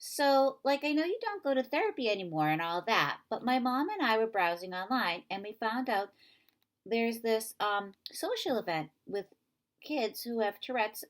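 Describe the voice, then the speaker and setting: A woman voicing a chatty, persuasive young girl in a children's novel read aloud, eager and quick to blurt things out. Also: a little high, expressive, voice pushed up, lightly acted. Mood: tentative, upbeat.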